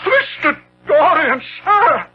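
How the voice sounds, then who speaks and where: An actor playing a devoted, anxious gentleman's manservant in a radio drama, obsequious, solicitous and easily flustered. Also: very high-pitched, very animated, slow pace, heavily acted. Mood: alarmed. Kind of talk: 1970s radio drama acting. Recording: muffled, hissy 1970s radio broadcast.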